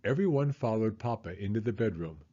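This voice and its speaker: Mellow, lively male children's storyteller. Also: lowish voice, a little fast, highs cut off. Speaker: storyteller reading children's books aloud. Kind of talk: animated read-aloud narration for kids.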